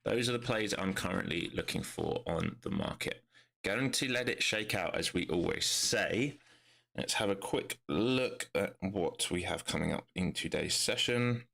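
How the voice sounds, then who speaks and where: A man's voice: deep voice, gravelly, a bit bright. Congested man calling live trades. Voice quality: nasal, bunged up. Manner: brisk, thinking aloud, frequent "all right?" tags. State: congested with a cold. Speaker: day trader streaming live market analysis. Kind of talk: live market commentary.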